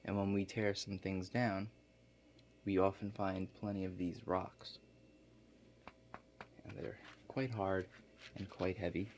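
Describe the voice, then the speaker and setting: A man playing a laid-back construction contractor in an ASMR role play, explaining things to a client in a halting, matter-of-fact way. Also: low-pitched, flat delivery, noisy background. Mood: casual, unhurried.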